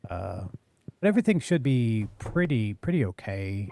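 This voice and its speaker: Deep-voiced man rambling on a live stream. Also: sing-song, normal speed, gravelly, warm tone, slight background noise. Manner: rambling, self-correcting, apologetic, talks low. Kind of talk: casual live-stream chat while gaming. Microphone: studio mic on a boom arm, close to the face.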